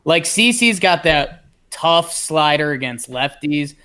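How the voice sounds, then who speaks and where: An American man chats about sports as a podcast host. His voice is fairly high for a man, with a clean, smooth sound and an informal delivery. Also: expressive, slow, sharp tone. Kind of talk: casual sports talk.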